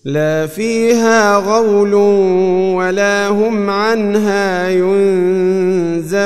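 High-voiced man chanting in long reverb. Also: fairly even intonation, soft background music. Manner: chanted, with long drawn-out syllables. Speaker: Quran reciter. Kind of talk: melodic Arabic scripture recitation.

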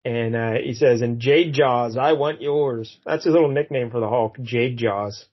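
A man's voice: mid-range voice, normal speed, dull audio. A man co-hosting a podcast, chatting casually about a comic book with lots of fillers and chuckles. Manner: rambling, many fillers, chuckles. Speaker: podcast co-host.

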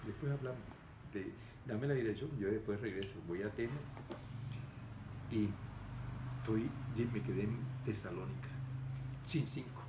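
A man's voice: fairly low pitch, normal speed, long pauses. An older man, telling a story in casual conversation and animatedly acting out the people in it. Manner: animated, gestures a lot, voices the people in his story. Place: room with framed pictures, seated at a table indoors. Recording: echoey room with background noise.

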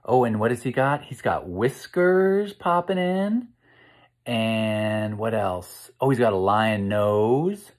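An American man in his fifties reading a picture book aloud to children in a slow, expressive, encouraging way, pausing to ask them questions. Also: on the low side. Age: 50s. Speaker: children's read-aloud host. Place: indoor room at home.

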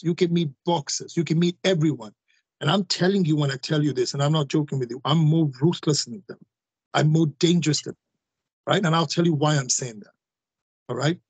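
A man in his forties talks forcefully over a video call, repeating himself for emphasis. His voice is a touch husky and sits a little high for a man. The sound is close and dry. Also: dry recording. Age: 40s. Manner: forceful, repeats phrases, addresses listeners directly. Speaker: guest. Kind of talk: emphatic interview talk.

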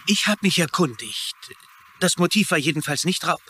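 An actor playing an earnest small-town sheriff, matter-of-fact and questioning, in a dubbed crime series. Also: male voice, fairly high pitch, lively intonation, normal speed, sharp tone, a little hiss or hum. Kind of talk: dubbed TV crime drama dialogue.

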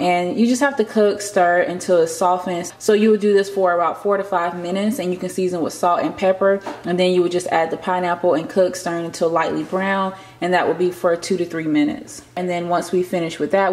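A woman's voice: lowish voice, steady tone, brisk, hardly any pauses, a little mellow. A young woman vlogging in her home kitchen, reading recipe steps aloud and adding playful asides to viewers. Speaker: lifestyle vlogger. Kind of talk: casual cooking vlog, reading recipe steps. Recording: faint fan noise in a kitchen. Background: vent fan noise.

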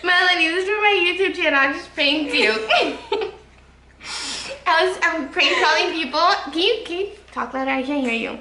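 A woman's voice: very high-pitched, normal speed, bright, forceful tone. A teenage young woman chatting casually and giggly with friends as a YouTube vlogger, in an echoey room. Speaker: YouTube vlogger making prank calls. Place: small living room indoors. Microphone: camera a short distance away. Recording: echoey room with light noise.

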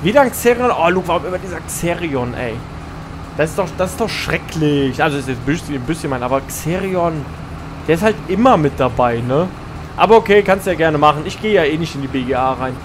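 A man's voice: fairly high pitch, animated, brisk, noisy background. A man, streaming a farming game live, greeting viewers and answering chat as he plays. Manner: chatty, answers chat messages while playing.

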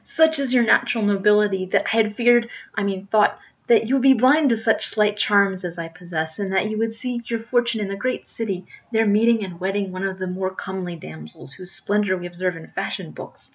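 A woman's voice: mid-range voice, a little fast, hardly any pauses, muffled recording, close to an everyday voice. A woman voicing a young farm maiden in a melodramatic storybook, coy and gushing, with affectedly dainty speech. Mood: coy, smitten.

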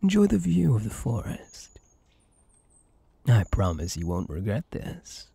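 A man playing a possessive yet tender elf hunter in an intimate ASMR roleplay, speaking in a coaxing, soothing and intense way with a husky voice. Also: on the low side, wildly swooping pitch, measured pace, mellow, dry recording, heavily acted. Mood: soothing, protective. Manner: coaxing, soothing, intense, often dropping to a whisper.

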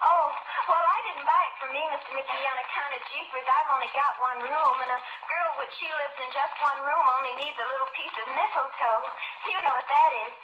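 A woman's voice: high voice, fairly even intonation, brisk, roomy sound, muffled recording, natural voice. An actress playing a giggly, scatterbrained, boy-crazy young woman in an old radio comedy, with a gushing manner. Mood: giggly, dreamy. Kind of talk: 1940s radio comedy acting. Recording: noisy, boxy old radio comedy recording.

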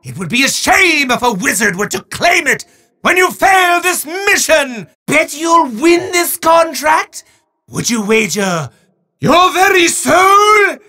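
A maniacal video game wizard villain, pitched high and swooping wildly up and down. The voice is bright and forceful, full of grandiose, theatrical bluster that breaks into offhand asides. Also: male voice, very animated, slight background noise, full character voice. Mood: menacing, theatrical. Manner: grandiose, theatrical, booming declarations dropping into fast asides. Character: bombastic, maniacal, hard-up male evil wizard.

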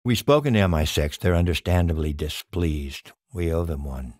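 A man's voice: low voice, lively intonation, moderate pace, darkish tone, a little hiss or hum. An actor playing a grave, authoritative male spy chief in a video game, terse and understated. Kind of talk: video game dialogue, briefing.

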